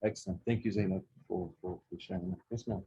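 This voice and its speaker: Oklahoman man in his forties, mellow husky voice, jokey teacher working the room. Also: deep voice, fairly even intonation, fast, dull audio. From Oklahoma, USA. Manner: chatty, jokey, asks the audience questions. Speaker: imam leading a student session. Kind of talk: interactive talk with students. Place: campus center room with a student group.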